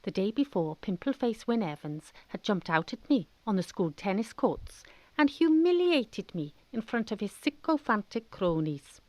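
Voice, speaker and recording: Woman, Welsh accent, radio drama narrator, wry, colloquial, expressive lilting pitch, close dry sound. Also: moderate pace, no echo. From Pembrokeshire, Wales. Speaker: storyteller.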